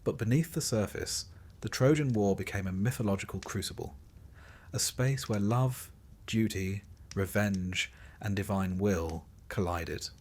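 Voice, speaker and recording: A man with a somewhat low voice narrates in the manner of a long bedtime tale. His phrasing is measured and deliberate, set out in careful pieces. The delivery is scripted and literary, with a wry, understated edge. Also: darkish tone. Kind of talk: scripted sleep-story narration of Greek myth.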